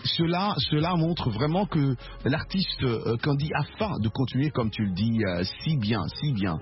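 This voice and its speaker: A man's voice: medium pitch, lively intonation, normal speed. Congolese guy hosting radio show, lots of euh and stammering, lo-fi sound, music faint. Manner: hesitant, many fillers and stammered repeats. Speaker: radio host. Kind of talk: radio interview.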